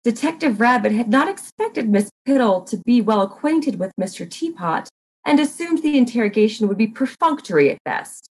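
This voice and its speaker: A woman narrating a comic murder mystery in a deliberate, deadpan dramatic reading. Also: fairly high pitch, slight background noise, poor audio.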